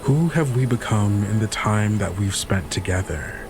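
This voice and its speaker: A man's voice: lowish voice, lively intonation, unhurried, background noise. A man narrating and hosting an actual-play fiction podcast as its game master. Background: music under the voice.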